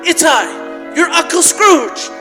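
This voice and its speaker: An old reformed miser in a live radio play, giddy and stammering. The pitch is very high and swings freely, with a bright, forceful edge. It rings through an echoey church hall. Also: male voice, takes it slowly, over music, full character voice. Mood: giddy, eager. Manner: excitable, stammering, emphatic. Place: large church, live performance.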